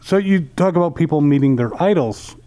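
A man's voice: fairly high pitch, lively intonation, brisk, warm tone. A middle-aged man interviewing in a conversational style, speaking through a face mask into a handheld mic. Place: indoor wood-panelled lounge, seated on a sofa.

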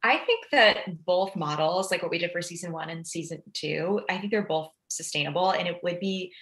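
A woman's voice: fairly low pitch, normal speed, a bit bright. A woman talking casually as a podcast guest, sprinkling her explanations with "like". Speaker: podcast guest, ghostwriter and audio fiction producer. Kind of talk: casual interview chat.

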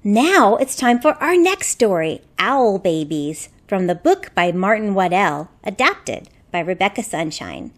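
A woman's voice: mid-range voice, sing-song, a little slow, hardly any pauses. A woman puppeteer telling stories to children. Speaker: puppeteer and storyteller. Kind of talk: children's storytelling.

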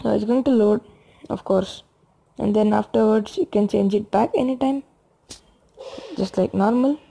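A young woman giving a casual phone tutorial in a hushed, low-key late-night voice. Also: normal speed, vocal fry, husky, poor audio. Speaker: gaming channel host.

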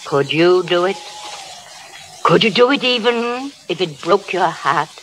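A woman's voice: on the low side, expressive, unhurried. An actress playing a feisty old pioneer grandmother, stern but loving, with an American accent, in 1930s radio style. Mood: stern, impassioned. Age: older. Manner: forceful, exhorting. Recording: hissy, crackly old radio recording.

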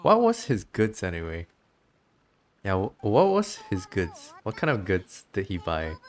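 A game streamer with a low voice talks casually through what is on screen. The delivery is chatty and giggly, like relaxed commentary for viewers. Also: measured pace.

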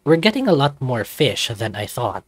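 Male narrator for a visual novel read-through, mid-pitched, animated delivery, dry close recording. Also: moderate pace, husky, no echo. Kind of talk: expressive scripted narration, reading aloud.

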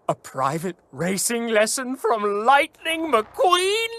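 A woman voicing an excitable, flustered young rally car visiting from England, starstruck and gushing. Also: fairly high pitch, very slow, heavily acted. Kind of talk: animated game voice acting.

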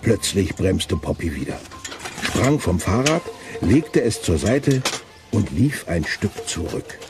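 A man's voice: sits low, big pitch swings, slow pace, music in the background, heavily acted. A man narrating a children's radio play in a scripted storytelling style.

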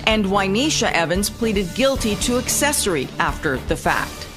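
Female news voice-over, even and measured, with music bed underneath. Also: mid-range voice. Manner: measured, crisp broadcast delivery. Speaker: news narrator. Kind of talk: scripted news narration.

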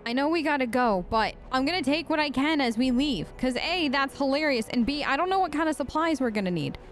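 A woman's voice: sits high, animated, fairly quick, soft background music. A woman doing casual, improvised role-play commentary on a game, flippant and chatty, joking in character. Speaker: let's play host.

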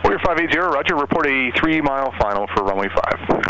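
A man's voice: mid-range voice, lively intonation, quick pace, lo-fi sound, crowd noise. An American man working as an air traffic controller, giving instructions over aviation radio. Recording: band-limited aircraft radio, engine noise.

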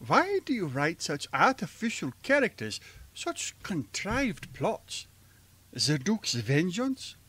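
Guy playing shy german professor in an old-style radio play, halting, voice swoops around, slow. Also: sits high, wildly swooping pitch, fairly bright tone, husky, heavily acted. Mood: earnest, apologetic. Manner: hesitant, halting. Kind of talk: old-fashioned radio drama acting.

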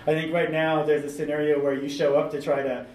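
A man's voice: on the high side, flat delivery, fairly quick, darkish tone. A man on a discussion panel giving a thoughtful answer with frequent ums, recorded in a meeting room. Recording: roomy, distant mic, faint noise.

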